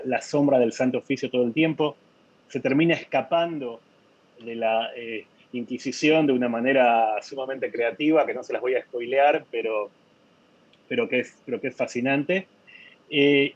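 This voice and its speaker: A man's voice: medium pitch, no echo, dull audio. A man, a novelist in an interview, speaking thoughtfully with many hesitations and repeated words. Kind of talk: conversational interview.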